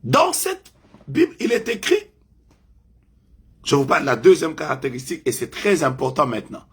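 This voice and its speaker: A French-speaking man leading a live online prayer as a prophet, making emphatic, repetitive declarations that suddenly rise loud. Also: on the high side, wildly swooping pitch, normal speed, husky.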